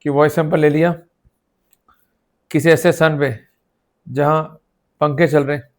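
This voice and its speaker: A man with an Indian accent and a slightly high voice, speaking as a lecturer. He explains things step by step in an instructive, emphatic way, often posing short questions to the students and mixing in English phrases. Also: little pitch movement, unhurried. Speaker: law lecturer.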